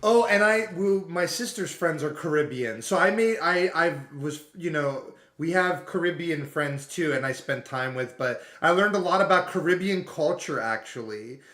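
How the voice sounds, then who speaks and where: A man's voice: on the high side, animated, normal speed, hardly any pauses, a bit bright. A man with a slightly raised voice chats through a reaction stream and rambles, leaning on "like" and restarting his sentences. His voice rings off the walls of an echoey room. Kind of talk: casual reaction commentary.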